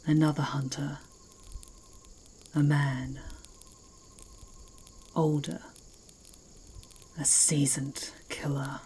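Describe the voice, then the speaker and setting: A woman playing a fierce, mocking werewolf chieftain in an ASMR roleplay, speaking in a slow, taunting, imperious way. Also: low-pitched, level tone, darkish tone, slight background noise. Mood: taunting, measured.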